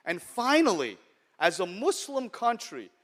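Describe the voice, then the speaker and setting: Middle-aged new york guy on stage, talks kinda high, keeps asking okay? to the crowd. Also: big pitch swings, measured pace, fairly bright tone. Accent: American, New York. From United States. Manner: conversational, humorous, checks in with "okay?". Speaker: venture capital investor and keynote speaker. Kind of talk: conference keynote speech. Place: large conference hall.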